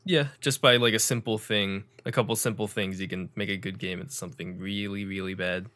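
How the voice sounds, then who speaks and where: A young man hosts a casual gaming podcast with a bright, forceful voice pitched a little low. He talks with energetic emphasis and a sarcastic edge. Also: a little fast. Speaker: podcast host.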